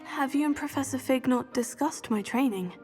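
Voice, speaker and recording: A woman's voice: high voice, normal speed, darkish tone, faint music behind, close to an everyday voice. An actress playing a polite, earnest teenage witch in a video game. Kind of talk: scripted video game dialogue.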